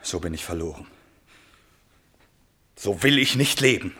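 Radio drama prince: imperious, lovesick, theatrical, husky edge, very animated intonation. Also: male voice, low voice, a little slow, exaggerated voice. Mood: despairing confession. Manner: theatrical, emphatic, swinging from outburst to brooding. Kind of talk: classical German stage drama, radio play acting.

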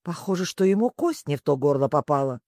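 A storybook reader puts on a brisk griffin creature. It is bossy, blunt and given to loud interruptions, with lively swings in pitch in a dry, close recording. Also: no room sound. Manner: matter-of-fact, interrupting, shouting.

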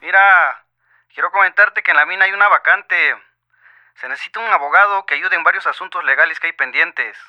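An actor playing a blunt, insistent Mexican mine boss on a telephone in a radio soap opera. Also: male voice, sits high, a little fast, dull audio. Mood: persuasive. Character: pushy small-town mine boss on the phone. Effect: telephone filter. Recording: thin, band-limited telephone sound.

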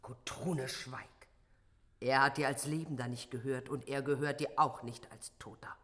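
A woman's voice: a bass voice, steady tone, moderate pace, a bit bright. A woman playing a commanding, vengeful and grieving warrior wife in a fantasy radio drama, stern and declamatory.

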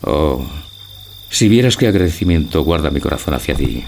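An actor playing a gentle, dreamy old husband who schemes, declaiming tenderly in a theatrical audio drama. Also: male voice, a bass voice, big pitch swings, normal speed, gravelly, a little hiss or hum, heavily acted. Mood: dreamy, wistful. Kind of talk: theatrical radio drama acting.

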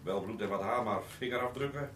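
A man's voice: on the low side, quick pace, very noisy, lightly acted. A man acting in a radio drama as a laconic, droll police fingerprint technician, dry and matter-of-fact.

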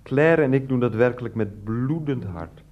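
Flustered, placating husband played by an actor, faint noise. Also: male voice, medium pitch, lively intonation, moderate pace, narrow, tinny audio, natural voice. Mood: awkward, apologetic. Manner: hesitant, placating, wordy. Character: flustered, ineffectual, euphemistic male head of household. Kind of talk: satirical Dutch radio play acting.

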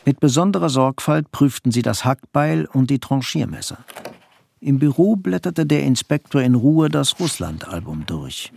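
An actor narrating a bleak literary crime tale for radio drama in a sober, matter-of-fact manner. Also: male voice, mid-range voice, expressive, normal speed, creaky, a little mellow, breathy edge, dry recording. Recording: faint music behind the voice.

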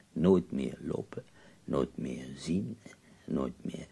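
Very deep, gravelly ailing poet. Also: male voice, expressive, warm tone, heavily acted. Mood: weary, melancholy. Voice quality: husky, gravelly. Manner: theatrical, sardonic, dramatic pauses. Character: ailing, bedridden male poet in Paris, wry and death-weary. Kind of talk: radio drama acting.

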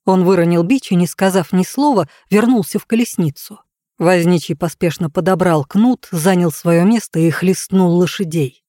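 A woman narrating a fairy tale as an audiobook reader, in an expressive scripted style. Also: fairly low pitch, moderate pace, dry recording, clean studio sound.

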